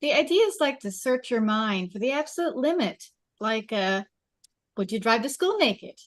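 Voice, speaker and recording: A woman's voice: fairly high pitch, animated, moderate pace, natural voice. A woman playing a teasing, sceptical, judgmental teenager in a table read of a film script.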